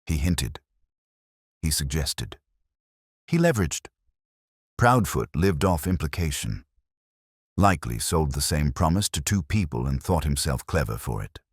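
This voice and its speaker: A man reading as a wry, low-voiced inspector. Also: slightly rough, no room sound, clean studio sound, exaggerated voice. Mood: measured. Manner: calm, measured and wry. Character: mild, dry-witted male police inspector.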